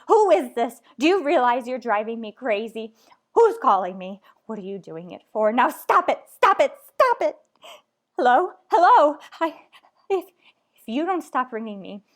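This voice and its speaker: Nervous, demanding wife played by a woman for a retro radio thriller: high voice, slow dramatic delivery, overwrought. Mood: frantic anger. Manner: overwrought, imperious, melodramatic. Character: frantic, nervous, demanding married woman home alone.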